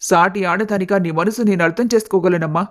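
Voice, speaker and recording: A woman voicing a stern but caring old grandmother in an animated family story, grave and direct. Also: on the low side, a little fast, close to an everyday voice. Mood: stern, probing.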